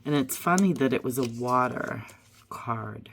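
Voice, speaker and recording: A hoarse woman getting over a cold, musing aloud as she pulls and reads tarot and oracle cards. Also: a bass voice, expressive, a little slow, background noise. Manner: musing aloud, drifting to soft murmurs. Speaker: tarot reader. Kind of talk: casual card-reading vlog.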